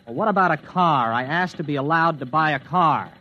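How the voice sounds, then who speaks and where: A man's voice: a little high, fairly quick, bright, forceful tone, slight background noise. An actor playing a frustrated young ex-spaceman, newly on Earth, in an old-time radio drama. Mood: indignant. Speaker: lead actor and narrator. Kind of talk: old-time radio drama acting with first-person narration. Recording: muffled old radio recording, music behind.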